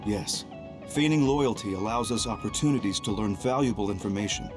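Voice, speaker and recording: An actor playing a stern, calm military commander and scheming reformer in an English game dub, speaking formally and deliberately. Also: male voice, lowish voice, natural voice. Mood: grave, resolved. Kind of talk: English-dubbed video game cutscene acting. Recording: music behind the voice.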